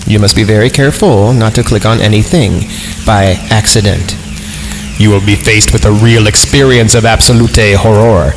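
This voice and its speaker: Male horror podcast reader, lowish pitch, irreverent and sweary, ad-libs jokes between passages, music behind. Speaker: podcast host reading horror stories. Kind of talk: story reading with joking ad-libbed commentary.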